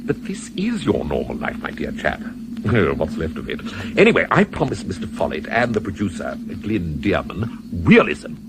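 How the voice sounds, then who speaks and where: A man's voice: low-pitched, wildly swooping pitch, normal speed, noisy background, heavily acted. A British man playing an urbane, mocking devil in a 1970s radio play, pompous and wry. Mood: wry, brisk. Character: urbane, mocking male devil, theatrical and impatient.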